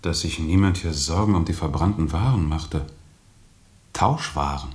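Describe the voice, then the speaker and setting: A sober interrogator with a low voice. He questions tersely and exactingly, pressing for precise answers in a radio-play dialogue. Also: normal speed, a little mellow. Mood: insistent probing. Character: sober, probing male questioner pressing a witness.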